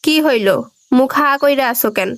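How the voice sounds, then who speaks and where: A woman's voice: sits high, moderate pace, noisy background, lightly acted. A woman with a Bangladeshi accent playing a stubborn, sulky, nagging young village wife in a comic cartoon. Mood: sulky, bossy. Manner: nagging, insistent.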